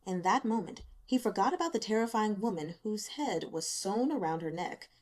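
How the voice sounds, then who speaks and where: A woman's voice: mid-range voice, expressive, normal speed. A woman narrates a novel in a scripted storytelling style. She reads expressively and gives the characters their own delivery as the narration moves along. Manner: acts out the dialogue within narration. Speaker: audiobook narrator.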